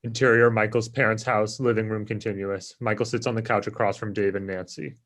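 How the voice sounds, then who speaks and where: A man reads screenplay directions as a narrator. His voice is on the low side. The delivery is scripted narration, setting each scene. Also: level tone, a little fast, a bit bright.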